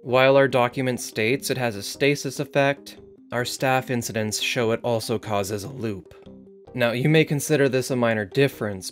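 A man's voice: mid-range voice, natural voice. An actor playing a defiant male site director in an audio drama, formal, insistent and sardonic as he argues his case. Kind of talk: scripted audio drama interview.

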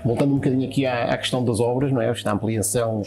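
A middle-aged man with a European Portuguese accent, interviewing a guest, with quick questions and short murmurs of agreement. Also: mid-range voice, steady tone, music in the background. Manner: quick, with back-channel murmurs. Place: restaurant dining room, indoors.

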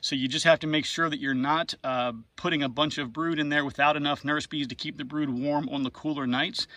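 A man in a casual outdoor how-to walkthrough, showing his hive gear in an apiary yard, relaxed and practical, with lots of "uh" and "right?" fillers. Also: fairly high pitch, a little fast, dry recording.

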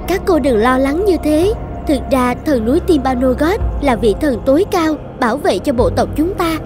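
An earnest young chief's daughter in a cartoon folk tale. Her voice is high and her manner gentle, dutiful and pleading, over clearly heard music. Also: lively intonation, normal speed. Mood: reassuring. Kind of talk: Vietnamese cartoon fairy-tale dubbing.

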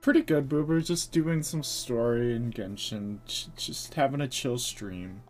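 A man's voice: mid-range voice, a little slow, darkish tone. A young man with an American accent chatting casually on a gaming livestream, joking and self-deprecating. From United States. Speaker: live streamer. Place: streamer's own mic over game audio. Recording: game music under the voice.

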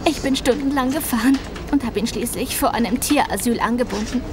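A woman's voice: on the high side, fairly quick, natural voice. An actress playing an anxious, devoted young female carer, earnest and hesitant, in an audio drama. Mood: distraught. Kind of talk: German audio drama acting. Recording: noisy ambience behind.

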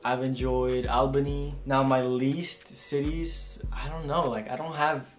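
A man's voice: mid-range voice, flat delivery, unhurried, roomy sound, faint music behind. A man in his early twenties, a flight attendant chatting casually through viewer questions at home, rambling with lots of fillers and restarts. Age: 20s. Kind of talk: casual Q&A chat. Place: small home room indoors.

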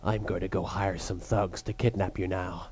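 A man voicing an arrogant, cold teenage billionaire rival in a cartoon parody, with smug, deadpan delivery. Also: sits low, normal speed, husky. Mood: smug, menacing. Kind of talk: anime parody dub.

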